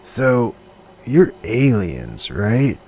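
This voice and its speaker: A man's voice: medium pitch, big pitch swings, drawn-out words, darkish tone, slight background noise. A man playing a laid-back, dim young stoner who is easily amazed, speaking in slangy slacker style in an audio comedy. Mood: casual. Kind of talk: comedic audio drama acting.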